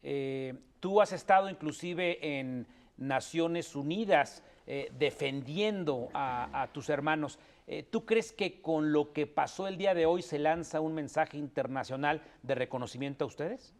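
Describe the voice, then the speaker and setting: A man's voice: fairly high pitch, measured pace. A man hosting a radio talk show, framing questions with many hesitant fillers. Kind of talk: hosting a panel and phone interview.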